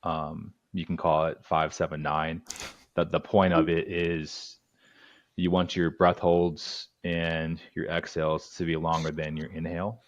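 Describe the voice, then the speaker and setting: Very deep-voiced calm breathwork coach. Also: male voice, a little slow, highs cut off. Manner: calm, instructive, speaks in short guiding phrases. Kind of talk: podcast interview, guiding breathing exercises.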